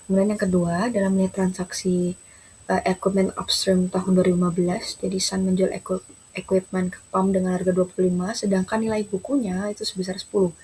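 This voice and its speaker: A young woman gives a structured student explanation. Her delivery is very flat and formal, at a middle pitch, with some ambient noise in the recording. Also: fairly quick, poor audio. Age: young adult. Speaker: university student presenter. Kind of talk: explaining an accounting case. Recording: clear background noise, room ambience.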